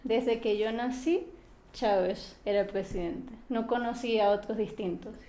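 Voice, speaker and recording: A young woman with a Venezuelan accent from Maracaibo speaks in a calm, measured way. Her voice sits a little high and sounds mellow. The room around her is echoey. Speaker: interviewee. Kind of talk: interview.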